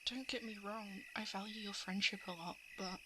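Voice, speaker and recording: A woman playing a shapeshifting monster who poses as a lovelorn crush in an ASMR roleplay, speaking softly and hesitantly, often slipping into whispers. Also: mid-range voice, fairly even intonation, moderate pace, background noise, natural voice. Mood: tender coaxing. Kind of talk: ASMR roleplay, intimate confession. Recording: night insect and frog ambience. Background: crickets, frogs and insects.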